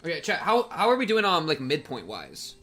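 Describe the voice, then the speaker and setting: A man hosting a live game playthrough, excitable and given to repeating his phrases, bantering with chat and reading game text aloud. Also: a little high, fairly quick, faint music behind. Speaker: livestream host.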